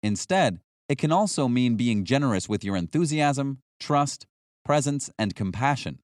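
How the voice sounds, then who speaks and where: A man narrates a nonfiction audiobook in a measured, instructional way. His voice sits at a medium pitch and rises and falls widely through each phrase. The sound is dry, with no room echo. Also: lively intonation.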